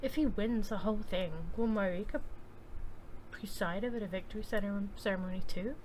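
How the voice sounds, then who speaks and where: A woman's voice: mid-range voice, moderate pace, a little mellow, slight background noise. A woman doing casual video game playthrough commentary, reacting in slang and reading game text aloud. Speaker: streamer playing a video game.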